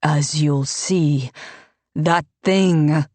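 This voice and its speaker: A woman voicing a sanctimonious, scheming male priest with an oily, pompous delivery, spoken slow and low. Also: darkish tone, dry recording, exaggerated voice.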